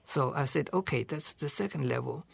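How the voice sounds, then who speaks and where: A man in his seventies from China, a guest scholar giving measured, thoughtful interview answers and pausing to rephrase. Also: a little high, creaky, husky, no echo.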